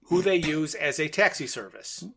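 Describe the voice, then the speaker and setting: A man's voice: fairly high pitch, expressive, normal speed, a bit bright, slight background noise. A man co-hosting a podcast, recapping a plot in a methodical, matter-of-fact way. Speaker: podcast co-host.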